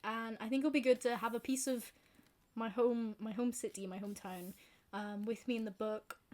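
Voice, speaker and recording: A young woman from Scotland with a softened Glaswegian accent, chatting casually and rambling through a book vlog. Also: fairly high pitch, steady tone, normal speed. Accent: softened Glaswegian Scottish. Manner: chatty, rambling, self-deprecating, many fillers. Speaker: book vlogger. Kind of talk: casual chat to camera.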